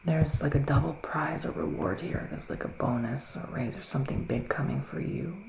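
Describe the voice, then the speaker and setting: Soft, creaky-voiced man whispering as a witch. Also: fairly high pitch, echoey room, slight background noise. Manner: soft-spoken, soothing, unhurried, with pauses. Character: gentle, reassuring male witch giving psychic readings. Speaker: ASMR performer playing a psychic reader. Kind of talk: whispered ASMR roleplay.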